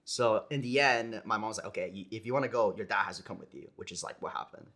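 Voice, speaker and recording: Bright-voiced young American man chatting. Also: lowish voice, fast talker. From United States. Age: young adult. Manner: relaxed, rambling, many "like"s and "you know"s. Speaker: esports player guest. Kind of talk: casual interview chat.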